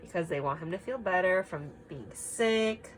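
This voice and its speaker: A woman reading a picture book aloud to children, expressive and emphatic, pausing to ask simple guiding questions. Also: fairly low pitch, a little hiss or hum.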